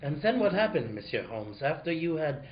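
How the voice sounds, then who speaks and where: A man's voice: a little high, big pitch swings, normal speed. A man playing a haughty, impatient interrogator in a comic radio play. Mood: probing. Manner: probing, theatrical questioning. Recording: dull, muffled live recording.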